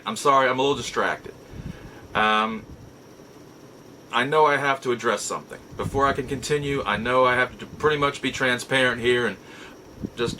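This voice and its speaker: A man hosting a nostalgia show in a casual monologue, with a playful, theatrical manner and drawn-out emphasis. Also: medium pitch, sharp tone, slight background noise, poor audio. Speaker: host of a nostalgia collectibles show. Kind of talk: casual scripted-feel vlog monologue.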